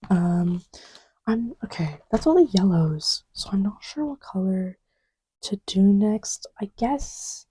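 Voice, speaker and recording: A woman playing an easygoing girlfriend in a soft ASMR roleplay, rambling hesitantly in a breathy murmur that often drops to a whisper. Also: medium pitch, lively intonation, slow, no room sound, exaggerated voice. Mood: mildly defensive. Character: easygoing girlfriend chatting idly while testing markers.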